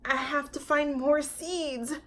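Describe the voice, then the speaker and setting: A woman voicing an earnest, eager little toy bear from a storybook, easily startled, with wide-eyed, drawn-out exclamations. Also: sits high, steady tone, a bit bright, voice pushed up. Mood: determined.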